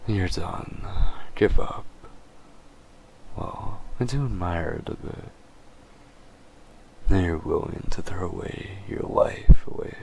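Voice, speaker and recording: Man playing a deep, gravelly, possessive vampire. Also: darkish tone, a little hiss or hum, exaggerated voice. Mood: smug, taunting, then menacing, possessive. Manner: slow, teasing, smug, often chuckling. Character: possessive, condescending male vampire, obsessed and menacing. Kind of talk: dramatic character audio roleplay.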